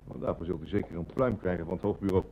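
An actor with a Netherlands Dutch accent playing a deferential, chatty bank clerk in an old radio drama. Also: male voice, deep voice, fast talker. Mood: polite, deferential. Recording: dull, noisy old radio-play recording.

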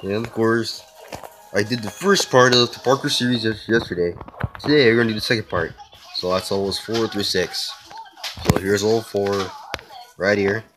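A woman with a remarkably low, hoarse voice talks casually through her gameplay and reacts out loud to what happens. She keeps clearing her throat. Steady noise and clicking run behind her recorded voice. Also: normal speed. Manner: chatty, reacting aloud to the game. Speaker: gaming video creator.

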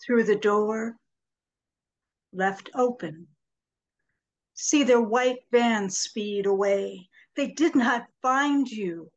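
Very slow, high-voiced older woman reading poetry. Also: lo-fi sound. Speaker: poet. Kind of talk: poetry reading, measured and dramatic. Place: small home room with bookshelves. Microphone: computer mic on a video call.

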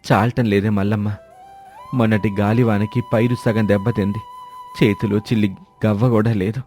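A man's voice: on the low side, little pitch movement, moderate pace, darkish tone, faint music behind, close to an everyday voice. A man playing a naive village farmer and husband in a moral story, gloomy and resigned as he laments. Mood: regretful. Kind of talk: dramatized Telugu moral story.

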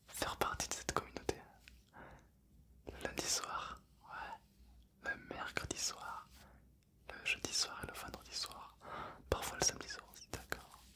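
Casting: man playing a kindly ghost zombie for ASMR roleplay, whispering, soothing and repetitive, playful about eating brains. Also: lightly acted. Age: 20s. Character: friendly calm brain-loving ghost zombie.

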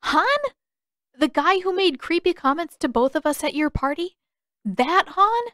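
A woman's voice: sits high, lively intonation, unhurried, a bit bright, no room sound, clean studio sound, pitched above the performer's own voice. A woman voicing a frank, caring, determined teenage girl with direct, casual phrasing in an audiobook story. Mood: incredulous.

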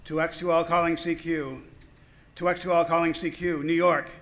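A man's voice: a little high, little pitch movement, moderate pace, a bit bright. A man playing an anxious lone radio operator calling out pleadingly in 1930s radio drama style. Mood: desperate searching. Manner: repetitive, pleading call.

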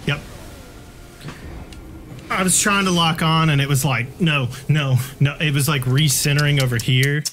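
A man's voice: on the high side, unhurried, pauses often, fairly bright tone, a little hiss or hum. A man playing a video game and commenting casually on it, grumbling and swearing in exasperation. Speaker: video game player, co-host. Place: indoor room, webcam setup.

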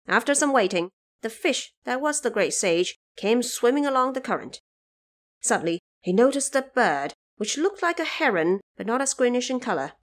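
A woman narrator telling a scripted story for an audio drama in an expressive, measured way. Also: medium pitch.

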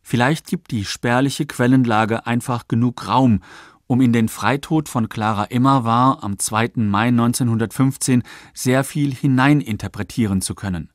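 A man reading scripted radio documentary narration in a measured, articulate way. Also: mid-range voice, no room sound, studio quality.

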